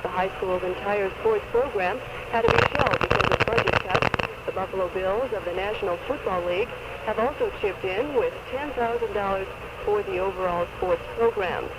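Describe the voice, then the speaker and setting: A man's voice: sits high, normal speed. A man reading scripted sports news, captured on a 1970s AM radio recording. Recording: very noisy 1970s AM radio taping.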